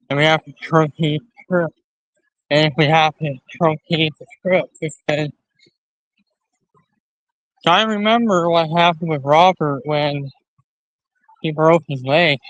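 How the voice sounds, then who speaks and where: A man hosting a casual livestream, talking to viewers in a rambling, halting way, often repeating and restarting his phrases. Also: a little high, fairly bright tone, no echo, low-quality recording. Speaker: live stream host.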